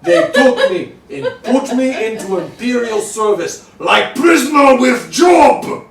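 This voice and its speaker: A man's voice: very high voice, expressive, slow pace, gravelly, pitched above the performer's own voice, exaggerated voice. A man voicing an embittered male German-born scientist who speaks broken English with a put-on Russian accent and makes grand vows. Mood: bitter vengeful. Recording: echoey room, far from mic, faint noise.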